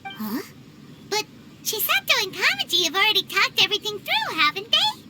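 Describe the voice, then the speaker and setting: A woman voicing a small, chirpy childlike fairy companion in a video game, with a squeaky, exclamatory and blunt way of talking. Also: huge pitch swings, moderate pace, very noisy, full character voice. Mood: puzzled. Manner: exclamatory, refers to self in third person. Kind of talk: animated video game voice acting.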